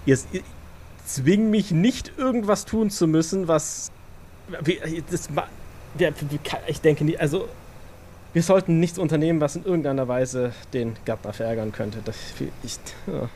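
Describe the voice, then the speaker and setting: A man playing a wary, distrustful vampire in a role-play audio drama, with halting, deliberate phrasing. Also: a little high, warm tone, a little hiss or hum, natural voice.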